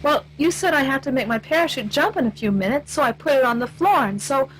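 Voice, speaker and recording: Woman playing high-voiced wisecracking heroine. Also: expressive, quick pace, a little hiss or hum, low-quality recording. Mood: baffled, stubborn. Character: wisecracking, melodramatic female radio-comedy heroine. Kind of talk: comic radio play acting.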